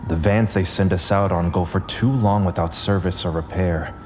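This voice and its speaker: A weary male paramedic, played by an actor in an audio drama, speaking in a lowish voice. He is gruff, sardonic and fed up, yet dedicated, with clear vehicle noise around him. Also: fairly even intonation, moderate pace, natural voice. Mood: exasperated. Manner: sardonic, exasperated, swearing. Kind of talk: audio drama acting with internal narration. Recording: clear vehicle ambience behind the voice. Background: ambulance and traffic sound effects.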